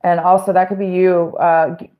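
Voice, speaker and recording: Woman, tarot reader, lowish voice, chatty and meandering, voice getting worn. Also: flat delivery, normal speed, phone-quality sound. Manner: chatty, rambling with fillers and restarts. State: losing her voice. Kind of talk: casual live tarot reading.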